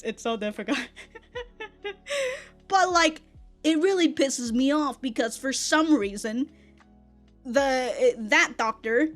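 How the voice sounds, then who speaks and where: A young woman with a fairly high voice talks over background music in a loose, rambling rant. She trips over her words, repeats them and swears freely, keeping an animated, casual tone. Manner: often laughs while talking. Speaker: online content creator.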